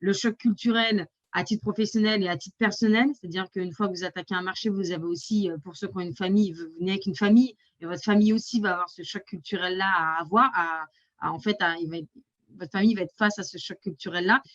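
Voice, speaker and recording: A woman from France talks through advice on a webinar panel, pausing often on fillers. The sound is dry and a little dull, as over a lo-fi connection. Her voice sits at an average pitch. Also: steady tone, no room sound. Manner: hesitant, many fillers. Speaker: export advisor.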